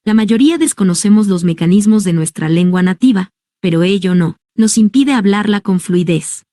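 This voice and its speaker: A woman reads a nonfiction book aloud in a calm, measured voice. Her tone is mellow and dark, and the recording is dry and close, with no room sound. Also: mid-range voice, no room sound. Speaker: audiobook reader. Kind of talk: scripted narration of a nonfiction book.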